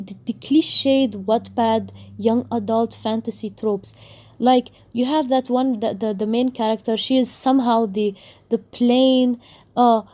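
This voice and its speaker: A young woman whose first language is Arabic, ranting about books in a casual vlog. Her voice sits a touch high and sounds mellow and relaxed. She rambles and restarts her sentences, dropping sarcastic asides. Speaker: book vlogger. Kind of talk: casual book rant.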